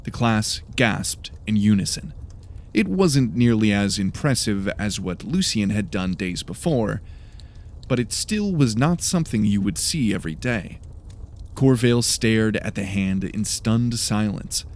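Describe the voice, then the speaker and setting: A man's voice: fairly low pitch, expressive, measured pace, a little mellow. A man reading scripted story narration as the narrator of an audiobook.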